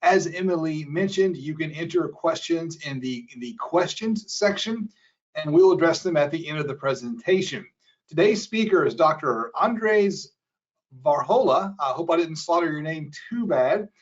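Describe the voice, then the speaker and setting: A man's voice: fairly high pitch, lively intonation, normal speed. A man moderating a webinar, easygoing and stumbling over a few phrases as he introduces the speaker. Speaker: moderator, associate professor. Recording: dull lo-fi webinar audio.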